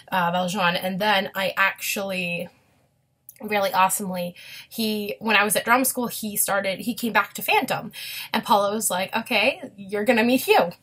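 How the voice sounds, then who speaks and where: Woman, twenties, casual vlog chat, enthusiastic, rambling, medium-pitched voice. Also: fairly bright tone. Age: 20s. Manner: enthusiastic, gushing fan talk. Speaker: vlogger showing autographs.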